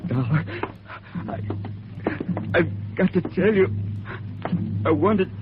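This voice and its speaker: A man's voice: high-pitched, lively intonation, slow. An actor playing a desperate, dying middle-aged man, gasping and faltering in a 1950s radio noir drama. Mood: desperate, failing. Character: desperate, dying 39-year-old male building inspector. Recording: muffled old radio with loud music.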